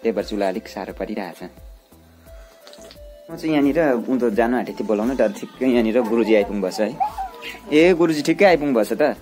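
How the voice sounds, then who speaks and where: A man's voice: medium pitch, animated, fairly quick, long pauses, a little mellow, faint music behind. A young man narrating a casual village vlog while filming on a handheld phone, chatting with family as he goes. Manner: chatty, mixes asides to family with narration to camera. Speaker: village vlogger. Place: rural hillside house and village, indoors and out.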